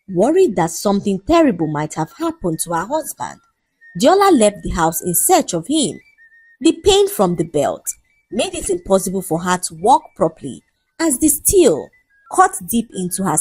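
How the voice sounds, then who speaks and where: Woman telling a dramatic folktale, really animated pitch, bit husky, dry close sound. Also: dry recording, low-quality recording. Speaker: storyteller. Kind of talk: scripted folktale narration, dramatic storytelling.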